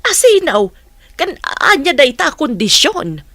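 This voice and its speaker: A woman acting a nosy, blunt village mother in an Ilocano radio comedy drama, with a probing, animated manner and an Ilocano accent. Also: high-pitched, measured pace, no echo, exaggerated voice. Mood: curious, explaining.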